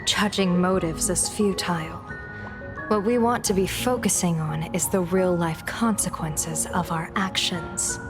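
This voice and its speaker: An actress playing a cool, philosophical older-sister type in a video game, measured, grave and softly breathy. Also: female voice, on the low side, over music, natural voice. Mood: detached, thoughtful.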